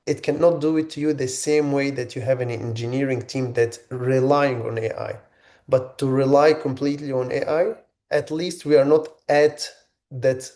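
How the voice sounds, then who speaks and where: Halting man from Egypt, mellow voice. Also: mid-range voice. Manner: halting, many restarts and fillers. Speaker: webinar host. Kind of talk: webinar presentation.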